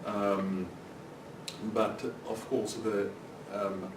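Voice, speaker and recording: A man speaks on a conference panel in a lowish voice, hesitating with frequent ums. He is recorded from a distance in an echoey hall, with clear background noise. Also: level tone, very slow. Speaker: legal researcher on a panel.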